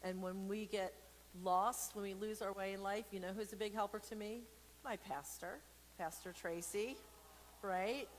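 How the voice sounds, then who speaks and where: A woman hosting a casual read-aloud for kids, playful and expressive, talking straight to viewers with informal, encouraging asides. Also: medium pitch, moderate pace, long reverb tail, a little hiss or hum. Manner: playful, expressive, with silly sound effects and asides. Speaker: storyteller and church program host.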